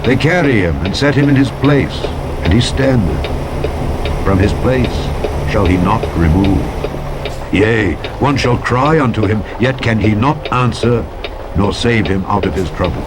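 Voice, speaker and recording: A man narrating scripture from a script in a solemn, declamatory, measured way, phrase by phrase. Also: fairly low pitch, animated. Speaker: audiobook narrator. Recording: loud vehicle noise over voice. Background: loud truck driving sounds from a video game.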